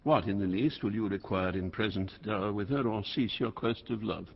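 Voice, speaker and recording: A man's voice: on the low side, a little fast, gravelly. An actor playing a proud, rash old king who declaims grandly in classical Shakespearean stage style. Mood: coldly regal. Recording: muffled, long hall reverb.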